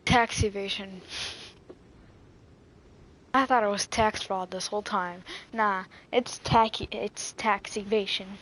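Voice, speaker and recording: A woman's voice: mid-range voice, fairly even intonation, slow pace, pauses often, fairly bright tone, poor audio. A woman streamer giving laid-back, joking commentary over a video game. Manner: laid-back, teasing slang. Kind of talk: casual gameplay commentary.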